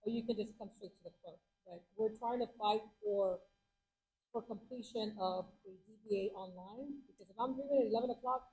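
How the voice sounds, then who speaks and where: A woman, speaking loudly and emphatically as county clerk to a meeting of local officials. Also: on the high side, slightly rough, poor audio. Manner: loud, emphatic. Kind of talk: speech to a meeting.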